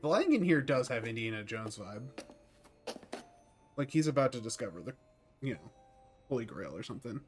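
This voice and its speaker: A man's voice: medium pitch, very animated, brisk, pauses often, a little mellow, soft background music. A man giving casual, ironic live commentary as he streams a game. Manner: jokey, ironic.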